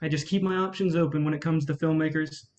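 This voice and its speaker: Woman playing a low-voiced, cynical male film distributor. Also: steady tone, fast, a little mellow, highs cut off, lightly acted. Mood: bitter, cutting. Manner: blunt, cocky. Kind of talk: staged play reading.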